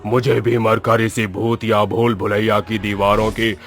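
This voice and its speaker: A man voicing a menacing severed-headed male ghost in a horror story, with a drawn-out, threatening delivery. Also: fairly low pitch, level tone, slight background noise. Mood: gloating menace. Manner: slow, drawn-out menacing delivery with evil cackles. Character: menacing severed-headed ghost, growling villain of a haunted maze. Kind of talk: dramatised Hindi horror story acting. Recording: music under the voice.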